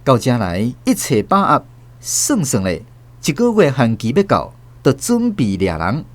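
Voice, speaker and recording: Expressive Taiwanese man narrating a tale. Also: mid-range voice, unhurried. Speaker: radio drama narrator. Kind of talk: dramatized storybook narration.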